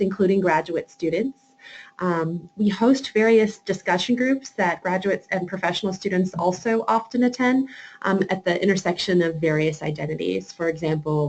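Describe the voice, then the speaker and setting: A woman's voice: lowish voice, a little mellow, dull audio. A young adult woman, program coordinator, giving an informational webinar talk with frequent hesitations and fillers.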